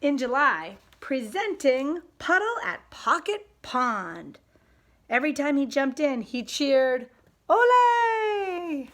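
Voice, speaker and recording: A woman reading a picture book aloud to a child in an expressive, sing-song storytelling way. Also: high voice, slow pace. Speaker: parent reading along with child.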